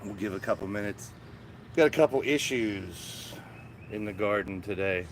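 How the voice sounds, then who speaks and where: A man with a raspy morning voice hosting a casual live stream from his backyard garden, chatting and greeting viewers by name. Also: on the low side, normal speed, a little hiss or hum. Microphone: small phone-mounted microphone.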